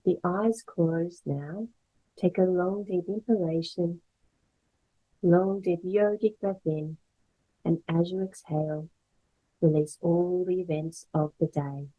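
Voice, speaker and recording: A woman guiding a meditation as a yoga instructor, speaking in gentle, measured phrases with pauses. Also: on the low side, dull audio. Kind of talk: guided meditation, slow soothing instruction.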